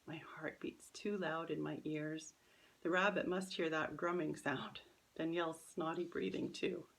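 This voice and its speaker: Woman author reading a kids story, lowish crackly voice, careful and dramatic. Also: fairly even intonation, a little slow, creaky, fairly bright tone. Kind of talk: expressive scripted storybook narration.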